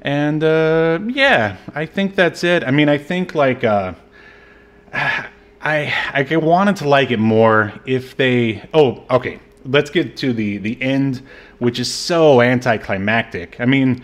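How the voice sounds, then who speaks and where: A man's voice: medium pitch, slow. A man co-hosting a podcast in casual chat, rambling with many fillers and laughing often. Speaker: podcast co-host. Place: Southern California.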